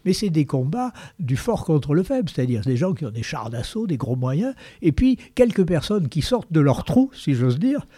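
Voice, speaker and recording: A man's voice: on the high side, big pitch swings, moderate pace, hardly any pauses, mellow, no room sound, clean studio sound. A man giving long, explanatory commentary on a radio talk show, peppering his sentences with "hein" and "voilà".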